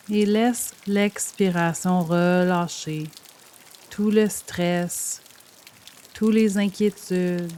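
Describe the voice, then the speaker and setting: A woman guiding a yoga nidra meditation in a gentle, soothing, measured way, leaving pauses between phrases. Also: lowish voice, a little hiss or hum. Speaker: meditation guide. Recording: steady rain sound over the voice. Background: rain sound.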